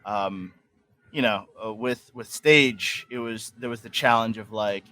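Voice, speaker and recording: A man's voice: on the low side, a little slow, pushed and bright. An American man with a General American accent, a podcast guest telling stories in casual conversation, rambling with many restarts. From United States.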